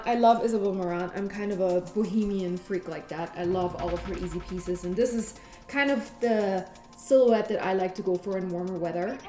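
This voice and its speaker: A woman's voice: medium pitch, darkish tone. A young woman from Germany, a fashion blogger, chatting enthusiastically about her clothes in an interview, with lots of "like" and "you know". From Germany (Munich). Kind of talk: casual interview, showing her wardrobe. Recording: echoey room with music behind.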